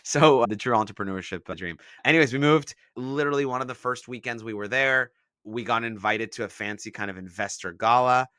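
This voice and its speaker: A man's voice: mid-range voice, brisk, pushed and bright, clear, resonant tone, dry recording, clean studio sound. A man from Israel and the San Francisco Bay Area, telling his startup story in a casual interview and explaining it with examples. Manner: explains things with examples, frequent "like" fillers. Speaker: startup founder, guest.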